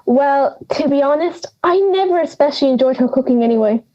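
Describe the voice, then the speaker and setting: A woman's voice: sits high, lively intonation, brisk, dark tone, dull audio. A young woman playing a cranky, forgetful old granny in an audio drama, brusque, sarcastic and theatrical. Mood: sardonic. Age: young adult.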